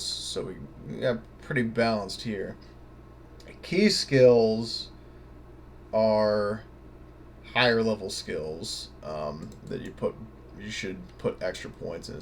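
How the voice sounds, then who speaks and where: Male tutorial presenter, fairly low pitch, informal and halting delivery as he thinks out loud, slight background noise. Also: vocal fry. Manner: hesitant, halting, thinking aloud. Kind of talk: casual unscripted screen tutorial.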